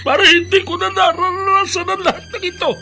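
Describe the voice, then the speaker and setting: A man's voice: very high-pitched, little pitch movement, fairly quick, vocal fry, music in the background. An actor playing an aggrieved, self-pitying elderly Filipino father in a radio drama, emotional and reproachful. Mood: bitter, hurt. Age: older.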